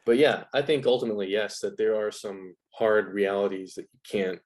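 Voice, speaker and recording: A man's voice: on the low side, steady tone, normal speed, a little mellow. A man talking as an interview guest, explaining ideas in a casual, conversational way.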